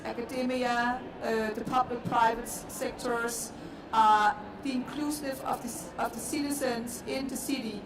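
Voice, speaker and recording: Danish woman, high voice, echoey hall. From Denmark. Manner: earnest, repetitive, emphatic. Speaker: panelist. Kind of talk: panel discussion. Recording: echoey hall, distant mic, noisy.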